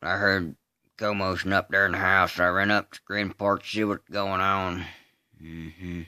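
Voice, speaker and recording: A man performing a film-style monologue as a slow, simple-minded rural killer, unrepentant, in a halting deadpan Southern US drawl with grunted hums. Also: sits low, clear, resonant tone, no room sound, studio quality. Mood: flat, matter-of-fact. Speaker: amateur voice artist. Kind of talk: dramatic monologue re-enactment of a film scene.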